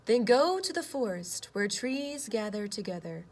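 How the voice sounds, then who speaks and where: A woman voicing the Earth as a wise, guiding storybook spirit, speaking emphatically with drawn-out phrase endings. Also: mid-range voice, sing-song, a little mellow. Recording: clear background noise.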